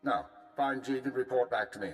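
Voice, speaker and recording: An actor playing a male intelligence handler briefing a field agent in a crisp, authoritative way. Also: medium pitch, fairly quick, soft background music, natural voice, rough recording. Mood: commanding. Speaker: spymaster giving orders. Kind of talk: video game voice acting.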